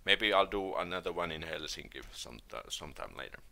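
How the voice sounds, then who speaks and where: A Finnish man with a Finnish accent, hosting a casual live stream and answering viewer questions in a relaxed, hesitant way, with many fillers and restarts. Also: low voice, fairly quick. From Finland.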